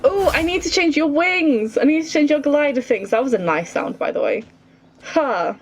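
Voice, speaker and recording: A woman's voice: high-pitched, animated, moderate pace, crowd noise. A woman giving casual, playful commentary while playing a game, self-deprecating and talking back to it. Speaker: gaming video creator.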